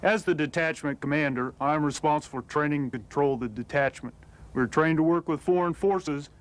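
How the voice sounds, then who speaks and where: A man, an army detachment commander, giving a formal, scripted self-introduction in an even delivery. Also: a little high, brisk.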